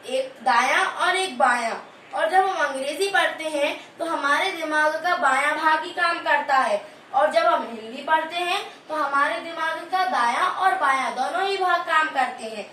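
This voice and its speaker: A woman's voice: high-pitched, bright, forceful tone. A woman playing a female student in an amateur skit, reciting her lines in an earnest, declamatory way. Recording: echoey room, distant mic, faint hiss.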